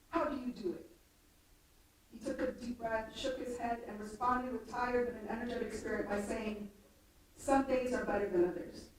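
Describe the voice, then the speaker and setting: A young adult woman reading a prepared introduction speech in a measured, formal way. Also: on the high side. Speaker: introducer of a keynote speaker. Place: large room at a live event. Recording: very echoey room, distant mic, faint noise.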